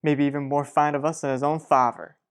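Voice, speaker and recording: A frank, steady family friend in a soap opera radio play, a man voiced by a woman. The voice is strikingly low for a woman and speaks with serious, measured reassurance. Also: smooth. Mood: grave, measured.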